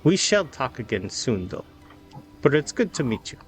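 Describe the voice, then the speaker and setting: A man voicing an ancient, regal woman's spirit who speaks in measured, formal, cryptic phrases in a tabletop game. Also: mid-range voice, very animated, slightly rough, soft background music. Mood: solemn, weary.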